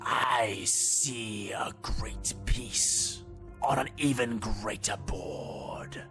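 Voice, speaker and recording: A cold, calculating inquisitor, acted by a man for a sci-fi audio story. He speaks in an ominous, breathy whisper at a slow, deliberate pace. Music plays clearly behind him. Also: mid-range voice. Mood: quietly menacing. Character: menacing, philosophical male inquisitor, cold and calculating. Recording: voice over prominent music.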